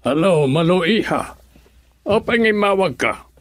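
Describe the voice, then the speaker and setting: A man's voice: high-pitched, animated, unhurried, a little hiss or hum. A man with an Ilocano accent playing a stern, wrathful older father in a radio drama. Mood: angry, stern. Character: stern, wrathful father resentful of his son-in-law.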